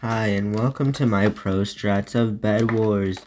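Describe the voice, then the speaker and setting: A woman's voice: a bass voice, fairly even intonation, slow pace, a little mellow, a little hiss or hum. A woman with a Southern US accent giving solo gameplay commentary, rambling and talking to herself between moves. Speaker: gaming YouTuber.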